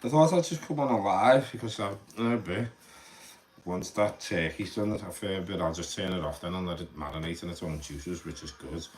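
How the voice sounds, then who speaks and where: A man's voice: low-pitched, moderate pace, a little mellow. A young man with a Liverpool accent chatting casually to viewers on a live stream, rambling, repeating words and swearing offhandedly. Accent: Liverpool (Scouse). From Liverpool, England. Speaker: livestreamer. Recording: faint background noise.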